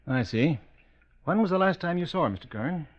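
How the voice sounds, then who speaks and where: An actor playing a formal, polite coroner questioning a witness in a 1940s radio drama. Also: male voice, a little high, lively intonation, brisk. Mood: polite, official. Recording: dull old radio recording.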